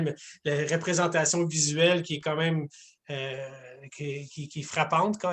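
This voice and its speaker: Chatty moderator on a video call. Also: male voice, a little high. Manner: warm, casual, chatty with fillers. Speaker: panel moderator. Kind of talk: hosting a virtual panel.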